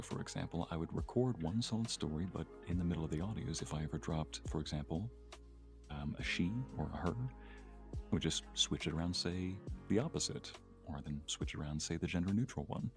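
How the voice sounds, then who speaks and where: A man chatting casually as a fellow voice actor and creator, inquisitive and explaining things with examples. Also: low-pitched. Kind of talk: casual chat. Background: music.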